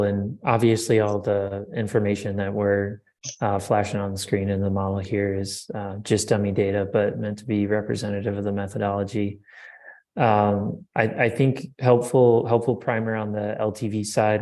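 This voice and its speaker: A man's voice: lowish voice, level tone, moderate pace, mellow. A man hosting a business interview on a video call, asking conversational follow-up questions. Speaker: interview host.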